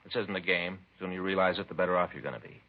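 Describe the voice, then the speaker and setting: Casting: male actor as no-nonsense interrogating detective for vintage police radio drama, quick delivery, low register, stern and blunt. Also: lightly acted. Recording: dull, lo-fi vintage radio recording.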